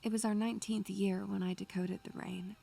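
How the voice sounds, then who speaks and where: A woman's voice: mid-range voice, flat delivery, brisk, vocal fry, mellow, a little hiss or hum. A woman playing a bookish, anxious young student who narrates her memories in a literary, atmospheric style. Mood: brooding, wistful. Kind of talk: atmospheric first-person scripted narration.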